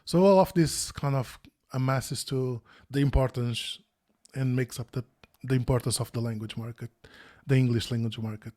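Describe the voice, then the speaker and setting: A man's voice: medium pitch, steady tone, normal speed, dark tone. A man, a guest on a video call interview, speaking thoughtfully with many restarts and fillers. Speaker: interview guest, former search quality analyst.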